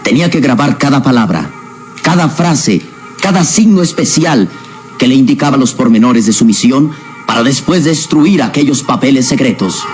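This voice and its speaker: A man narrating a scripted radio serial in a suspenseful, emphatic and deliberate dramatic style. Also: medium pitch, animated. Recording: radio drama with loud music behind.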